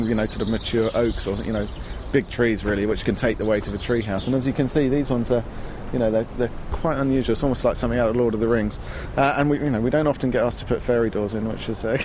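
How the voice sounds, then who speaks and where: A man who builds treehouses, chatting quickly in an interview outdoors, with lots of fillers. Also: music in the background. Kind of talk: casual interview answers. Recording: outdoor noise, traffic rumble.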